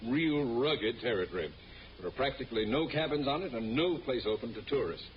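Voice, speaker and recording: A man's voice: mid-range voice, animated, brisk, lightly acted. A man plays a pompous, scheming middle-aged school principal in an old radio comedy. He speaks with theatrical self-importance, through a muffled broadcast recording with plenty of noise. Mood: pleased.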